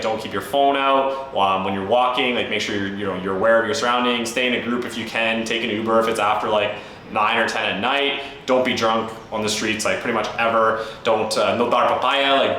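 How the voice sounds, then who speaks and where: A man's voice: mid-range voice, bright, forceful tone. Chatty man in a very echoey room. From Canada. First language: English. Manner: chatty, frank, lots of fillers like "like" and "um". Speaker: travel vlogger. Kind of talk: casual talk to camera. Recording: very echoey room with faint ambient noise.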